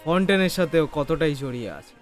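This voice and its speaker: A man's voice: a little high, rapid-fire, faint music behind. A man streaming a video game, giving chatty, jokey, casual commentary and echoing the game's lines. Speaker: gaming streamer.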